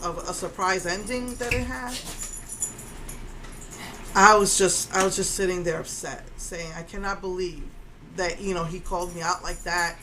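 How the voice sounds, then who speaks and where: A woman's voice: medium pitch, sharp tone, a little hiss or hum, low-quality recording. Indignant woman with a strong voice. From Puerto Rico. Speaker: vlogger. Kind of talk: casual storytelling rant.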